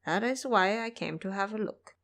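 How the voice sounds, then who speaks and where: A shapeshifting monkey king who passes himself off as a humble elderly monk, speaking in careful, polite phrases, read by a woman. His voice stays at an everyday middle pitch. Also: normal speed, a bit bright, natural voice.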